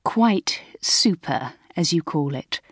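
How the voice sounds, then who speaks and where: A woman's voice: sits low, animated, slow, husky, no echo, clean studio sound, heavily acted. A woman voicing a kindly, composed middle-aged mother. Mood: amused.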